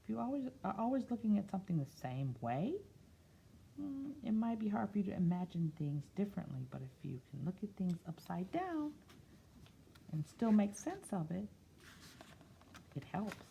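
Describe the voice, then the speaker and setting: A woman reading a picture book aloud expressively, slipping into quieter, musing, conversational asides. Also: mid-range voice, big pitch swings, normal speed, vocal fry, a little hiss or hum, low-quality recording. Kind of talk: expressive read-aloud with soft, chatty asides.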